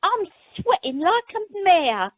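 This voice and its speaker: Woman acting brash earthy wife, high lively voice, chatty radio comedy, muffled phone recording. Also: slow, no room sound, exaggerated voice. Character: brash, earthy, blunt married holidaymaker Sharon. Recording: dull, dry telephone conference recording.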